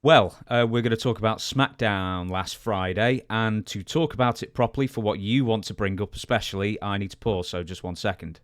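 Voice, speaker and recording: Guy hosting a wrestling podcast, lowish voice, casual chat, really dry close sound. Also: a little fast, few pauses, no echo. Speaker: podcast host.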